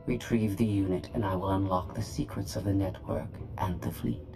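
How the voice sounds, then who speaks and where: A woman's voice: rumbling low, fairly even intonation, normal speed, over music. A woman voicing a proud, disdainful droid intelligence in a game, formal, clipped and detached. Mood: cold, haughty. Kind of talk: scripted game cutscene dialogue.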